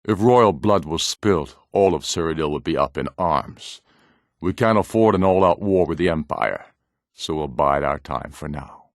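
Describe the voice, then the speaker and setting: A deep, low-voiced warrior jarl from a fantasy game, recorded close and dry. He leads a rebellion with proud authority and speaks in a grave, formal, commanding way. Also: fairly bright tone, dry recording, heavily acted. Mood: measured, resolute. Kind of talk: dramatic fantasy video game voice acting.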